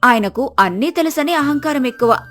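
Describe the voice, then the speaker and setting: A woman narrating a children's moral story in a lively, expressive storybook style. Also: sits high, brisk, faint music behind.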